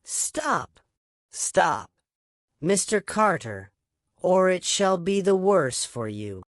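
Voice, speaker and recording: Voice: medium pitch, sing-song, drawn-out words, no echo, clean studio sound, pitched above the performer's own voice. A narrator voicing a vengeful little female sparrow in a storybook, stern and taunting in archaic speech. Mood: urgent warning.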